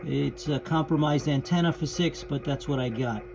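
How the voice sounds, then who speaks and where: A man hosting a hobby radio channel, talking casually and haltingly as he explains things in an informal vlog style. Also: fairly high pitch. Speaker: host of a ham radio channel. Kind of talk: casual vlog talk about radio operating. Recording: clear background noise.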